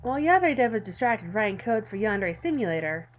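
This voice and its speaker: A woman's voice: medium pitch, fast talker, a little hiss or hum. Girl doing a let's play, got a cold, voice swoops around a lot, kinda muffled lo-fi mic. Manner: theatrical, playful, expressive. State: has a cold, coughing. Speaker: gaming video host. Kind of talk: let's play commentary, reading a visual novel aloud.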